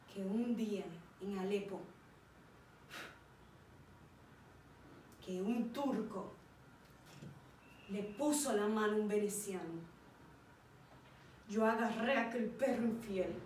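A woman acting a remorseful, proud military man in a solemn, declamatory stage monologue, with deliberate pauses. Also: mid-range voice, a little mellow, natural voice. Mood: grim, resolute. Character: tragic male general, remorseful, proud, anguished. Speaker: drama student performing an exam monologue. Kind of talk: dramatic theatrical monologue. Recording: roomy, distant mic with faint noise.

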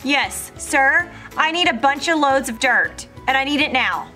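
A woman's voice: sits high, animated, unhurried, bright, forceful tone. An American woman in her thirties acting in a playful children's skit outdoors, with an exaggerated, complaining delivery. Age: 30s. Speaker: parent acting in a family kids' show. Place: outdoors by a house. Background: prominent music.

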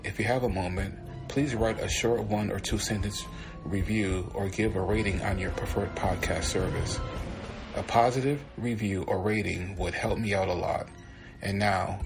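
A man's voice: on the low side, steady tone, normal speed. A man hosting a podcast, reading a scripted introduction to a show. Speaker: podcast host. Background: music behind the voice.

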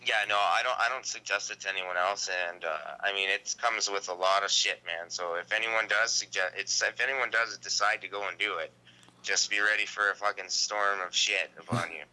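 A man gives a casual interview over the phone. His voice sits a little low but is very sharp and forceful. He talks in emphatic slang, often tagging his points with "man" or "right". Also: steady tone, fairly quick, rough recording. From Canada. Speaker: interview guest. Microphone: phone call.

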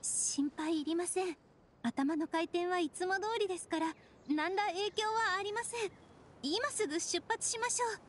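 A woman playing a composed, gentle young lady strategist in an anime game, speaking softly and very politely. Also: very high voice, normal speed, a little hiss or hum. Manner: soft-spoken, formal and polite. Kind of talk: Japanese anime game voice acting.

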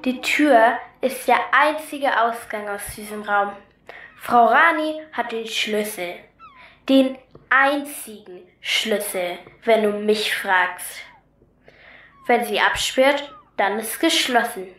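A woman's voice: on the high side, slow, fairly bright tone. A woman playing a matter-of-fact, resigned captive girl from a child gang in a radio play, dry and blunt. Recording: roomy echo.

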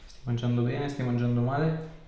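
A man's voice: mid-range voice, fairly even intonation, fairly quick, dark tone. A man working as a chiropractor, giving short directions and asking brief, repeated questions during a hands-on exam. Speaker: chiropractor examining a patient. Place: indoor treatment room. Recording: very echoey room, faint noise, clicks.

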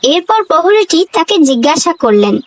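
A woman's voice: extremely high pitch, very animated, moderate pace. A woman narrating a children's cartoon fable in a scripted storybook style.

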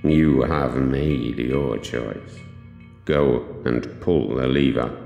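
A man voicing a grave, commanding seer in a horror audio story, speaking in slow, ominous, measured pronouncements. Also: a bass voice, vocal fry, dark tone, roomy sound, in a large hall, lo-fi sound, soft background music, pitched below the performer's own voice, full character voice. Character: grave, commanding male seer running a deadly trial.

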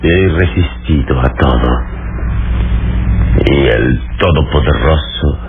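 An actor playing a mighty, calm and wise hero in a solemn old radio serial style. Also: male voice, very deep voice, takes it slowly, music in the background, full character voice. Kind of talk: old Spanish-language radio serial acting. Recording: tinny, noisy vintage radio.

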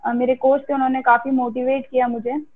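A young woman speaks in a high, fairly even voice. She answers interview questions haltingly, pausing often as she thinks. The sound is narrow and muffled, like an online call. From India. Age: early 20s. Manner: hesitant, halting with frequent pauses. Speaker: interviewed cricketer. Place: remote call on social media live. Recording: muffled, band-limited video call audio.

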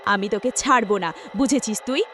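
A woman playing a furious young female ghost in a cartoon, hurling menacing threats in a shrill voice. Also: fast talker, fairly bright tone, faint music behind, natural voice. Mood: furious threatening.

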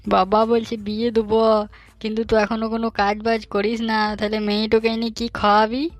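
A man playing a hapless, cheeky young bachelor in a comic cartoon, in colloquial rural western West Bengal dialect with a pitched-up voice. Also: completely flat delivery, moderate pace, no echo, soft background music. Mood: naive. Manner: colloquial, pleading, bantering.

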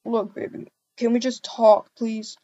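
Woman as cheating ex-boyfriend in game roleplay: insistent, wheedling, high voice, dry sound. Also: flat delivery, unhurried, dark tone, no echo, highs cut off. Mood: pleading. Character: pleading, persistent cheating male ex-boyfriend.

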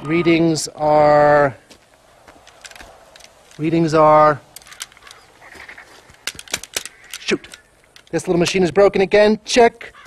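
Paranoid desert oddball obsessed with aliens, video game. High-set voice, earnest and twitchy. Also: fairly even intonation, takes it slowly, long pauses, slight background noise. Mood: officious, jittery. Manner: jittery, pseudo-scientific, earnest. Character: eccentric, paranoid male desert UFO conspiracy nut. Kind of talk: video game cutscene dialogue.

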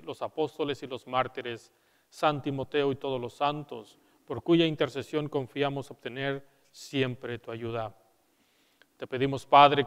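A man, a priest, solemnly reciting liturgical prayers at Mass in a measured way, in a large church. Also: mid-range voice, a bit bright, clear, resonant tone. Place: large church interior, nearly empty. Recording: reverberant church hall.

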